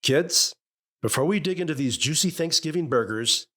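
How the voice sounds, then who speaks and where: A man's voice: on the high side, expressive, moderate pace, a bit bright. An actor playing an earnest, firm middle-aged father in a vintage radio family sitcom. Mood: solemn, grateful. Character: genial, authoritative middle-aged suburban father. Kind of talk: 1950s radio sitcom acting. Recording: vintage radio broadcast with music behind.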